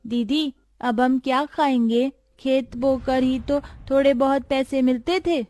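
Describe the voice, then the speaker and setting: Lady voicing caring young farm girl in a cartoon story, modest n gentle, mellow tone. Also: sits high, steady tone, slow pace, no room sound, soft background music, pitched above the performer's own voice. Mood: worried. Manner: gentle, solicitous. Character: caring, dutiful young farmer's daughter, poor and modest. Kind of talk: Hindi cartoon moral story character voice.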